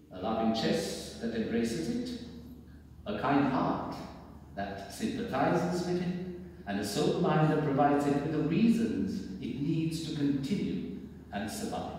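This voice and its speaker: A man's voice: on the high side, animated, measured pace, darkish tone. An older man reads a formal speech aloud in a declamatory, theatrical, emphatic way. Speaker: speaker reading a formal message on stage. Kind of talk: formal read speech, oratorical. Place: indoor theatre stage. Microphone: far camera in the audience. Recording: very echoey theatre hall, distant mic, faint noise.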